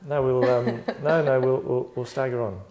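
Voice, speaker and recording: A man's voice: mid-range voice, steady tone, moderate pace, a little mellow. A man hosting a table read, with a wry, rambling way of talking and stage-direction narration. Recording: echoey room sound.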